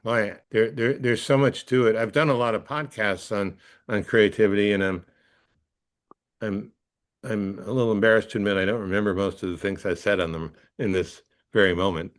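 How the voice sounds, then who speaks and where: A man with a fairly low voice talks as an interviewed coach. He explains ideas conversationally and thoughtfully, often halting and repeating a phrase as he works toward the point. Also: fairly even intonation.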